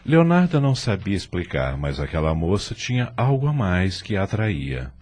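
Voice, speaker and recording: A man's voice: low-pitched, sing-song, moderate pace, husky. A man reading dramatic scripted narration as the narrator of an audio drama. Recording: loud music under the voice. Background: loud music.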